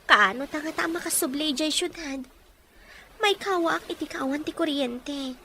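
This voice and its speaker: A woman's voice: sits high, animated, normal speed, bright, forceful tone, full character voice. A woman playing a questioning female character in a scripted melodrama, recorded with some background noise. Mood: complaining. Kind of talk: scripted Ilocano audio drama dialogue.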